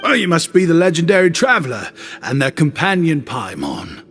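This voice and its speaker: A man voicing a flattering young shopkeeper who talks in an effusive, ingratiating way. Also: fairly high pitch, normal speed. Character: flattering young male shopkeeper, smooth talker. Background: game music.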